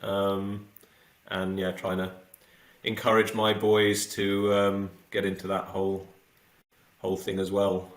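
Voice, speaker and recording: A man's voice: low voice, monotone, a little slow, roomy sound. A middle-aged man from the south coast, a podcast guest talking casually with many fillers and restarts. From south coast of England.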